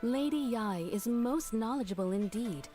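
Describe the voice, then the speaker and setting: An actress playing a calm, formal shrine maiden in a game, speaking in a measured, courtly way. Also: female voice, a little high, faint music behind, close to an everyday voice. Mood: composed. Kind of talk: game dialogue.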